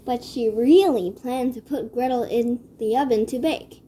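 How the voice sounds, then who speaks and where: Woman, children's story narrator, soft mellow tone, fairly high pitch, measured reading, faint background noise. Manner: measured read-aloud delivery.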